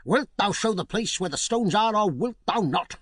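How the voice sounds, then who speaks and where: A man's voice: sits high, expressive, normal speed, a bit bright, dry recording, studio quality, voice pushed up. A man voicing a stern, proud king who speaks in a solemn, archaic, commanding way.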